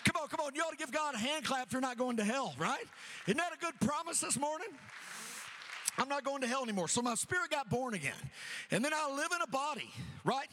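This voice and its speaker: A middle-aged man preaching an energetic sermon, repeating phrases rhythmically and calling on the congregation to answer. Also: very high-pitched, fast, big-room sound, slight background noise. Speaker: pastor. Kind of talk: energetic sermon with call-and-response.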